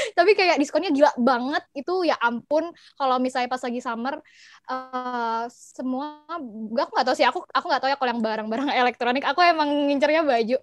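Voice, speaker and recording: A young woman with an Indonesian accent chatting casually as an interview guest, expressive and giggly, mixing in English and German words. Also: high voice, dry recording. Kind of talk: casual chat.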